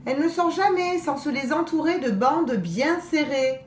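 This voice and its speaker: A woman's voice: sits high, animated, normal speed, slight background noise, pitched above the performer's own voice. A woman voicing a careful little girl in a storybook, firm and matter-of-fact. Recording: roomy echo.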